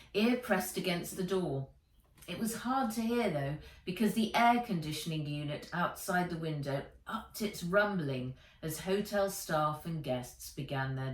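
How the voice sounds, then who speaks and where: A woman author reading her children's book aloud as a storyteller, in measured, expressive narration. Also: low voice. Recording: roomy echo, distant microphone.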